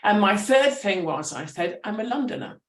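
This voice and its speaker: A woman, a writer and teacher, talking through her ideas with animated thoughtfulness and many restarts at a public talk. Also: mid-range voice, moderate pace. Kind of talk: conversational storytelling. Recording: roomy echo.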